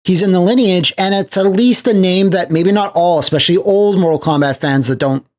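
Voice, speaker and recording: A man's voice: sits high, brisk, a little mellow. A man co-hosting a podcast, chatting casually and animatedly about movies, often acting out imagined reactions. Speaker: podcast co-host.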